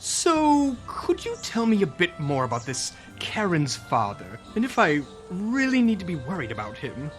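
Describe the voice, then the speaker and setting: A man's voice: high voice, wildly swooping pitch, normal speed, few pauses, over music. Swooping, flippant adventurer played by a man. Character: cheeky, defensive adventurer in a fantasy party.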